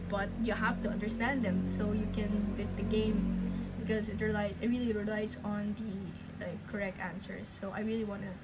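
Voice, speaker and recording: A young woman with a Filipino accent, Filipino her native tongue, talking slowly and rambling, with many restarts, in a casual vlog update. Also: mid-range voice, rough recording. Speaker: language learning vlogger. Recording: clear background noise.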